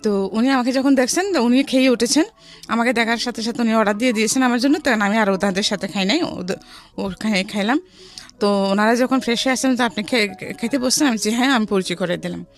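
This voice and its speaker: A middle-aged woman with a Bangladeshi accent telling her life story in a conversational way, mixing in some English words. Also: fairly high pitch. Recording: music behind the voice.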